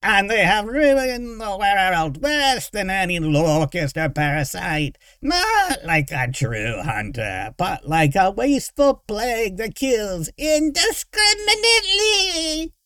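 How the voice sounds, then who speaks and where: A shrill, whining mad wizard villain, declaiming in grand archaic phrases. His high voice drags words out slowly and swoops wildly, as in a dramatised fantasy audiobook. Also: very animated, bright, forceful tone, clean tone, no room sound, heavily acted. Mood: frenzied, screeching. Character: crazed shrill whining villain mage in a dome.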